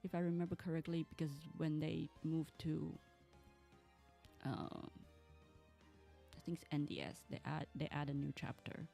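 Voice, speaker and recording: A woman, a Mandarin speaker, chats through a game stream in a low voice with a gravelly edge. She rambles and restarts her sentences as she goes. Soft game music plays faintly behind her. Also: expressive, moderate pace, long pauses. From Taiwan. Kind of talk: casual game stream chat.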